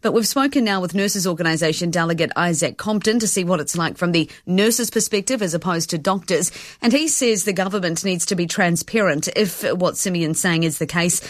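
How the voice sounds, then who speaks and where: A woman, giving a brisk regional news report on radio. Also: lowish voice, no room sound, clean studio sound.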